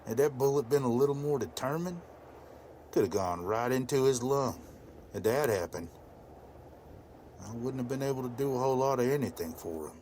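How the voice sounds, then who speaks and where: A man's voice: mid-range voice, lively intonation, moderate pace, pauses often, mellow, a little hiss or hum, natural voice. A man playing an older, devout, plain-spoken rural farmer in a motion comic. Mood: calm, steady. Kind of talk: dramatized motion comic dialogue.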